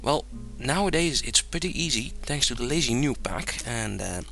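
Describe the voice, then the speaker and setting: A man's voice: medium pitch, normal speed, creaky, faint music behind. A young man with a Dutch accent, casually narrating a game tutorial over his screen, rambling with lots of fillers and little chuckles. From Netherlands. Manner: relaxed, rambling, many fillers, chuckles often.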